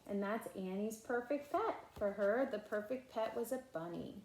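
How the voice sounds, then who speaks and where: A woman reading a children's picture book aloud in an expressive, emphatic way, with casual asides. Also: fairly high pitch, moderate pace. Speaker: read-aloud storyteller. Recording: echoey room.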